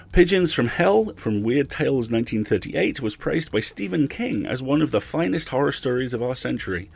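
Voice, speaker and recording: Low-voiced man hosting a podcast. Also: creaky. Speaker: podcast host. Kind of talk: conversational hosted podcast introduction.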